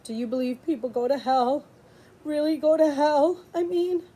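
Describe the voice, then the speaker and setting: Woman voicing a high, troubled young country boy. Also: moderate pace, warm tone, lightly acted, poor audio. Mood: troubled, searching. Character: grieving, troubled country boy of about ten.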